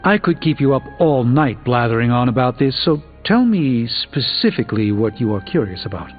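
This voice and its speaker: A man's voice: medium pitch, big pitch swings, normal speed, close to an everyday voice. Video game sorcerer superhero, male actor playing a middle-aged man, articulate and drily wry, formal scholar; thin phone-like sound over music. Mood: weary, sincere. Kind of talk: video game character dialogue.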